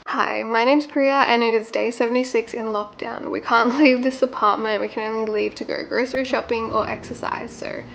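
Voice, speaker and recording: A woman's voice: on the high side, fairly even intonation, moderate pace, gravelly, few pauses, a little hiss or hum, low-quality recording. A young woman with an Australian accent, chatting casually and informally as a vlogger answering questions. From Sydney, Australia. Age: young adult. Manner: informal, conversational.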